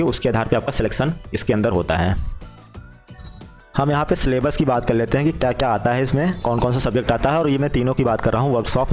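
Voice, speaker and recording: Male presenter, mid-pitched, brisk informal explainer delivery with repeated key points, over background music. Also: darkish tone. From India. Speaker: job exam guidance presenter.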